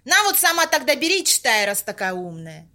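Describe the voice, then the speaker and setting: A woman's voice: a little high, big pitch swings, fairly quick, pushed and bright. A woman acting a bossy, grumbling séance organiser in an amateur audio play, snippy and colloquial as she gives instructions. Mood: irritated.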